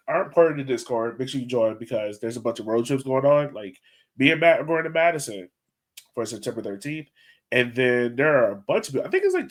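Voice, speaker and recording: A man co-hosting a sports podcast in casual conversation, informal and a little halting as he thinks aloud. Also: medium pitch. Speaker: podcast co-host.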